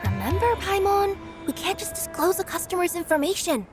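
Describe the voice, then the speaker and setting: A woman's voice: very high voice, very animated, brisk. An actress voicing an earnest, dutiful young courier girl with two cat tails, in a game cutscene. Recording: game cutscene with clear music.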